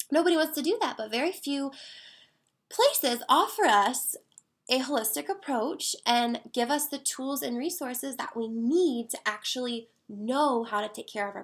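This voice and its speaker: A woman's voice: fairly high pitch, vocal fry, fairly bright tone. A woman in her thirties, a wellness coach talking casually and earnestly, a little stuffy from a cold. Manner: earnest, conversational, with fillers. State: slightly stuffy nose from a cold. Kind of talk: casual monologue.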